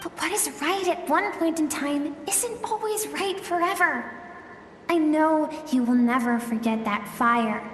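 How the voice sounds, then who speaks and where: A gentle, earnest young girl from a video game, her voice high and tender. She speaks slowly and pleadingly, ringing out in an echoey hall with soft music behind her. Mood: compassionate, sad. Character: earnest, gentle young girl, a warrior's lost childhood self. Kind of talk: scripted video game voice acting.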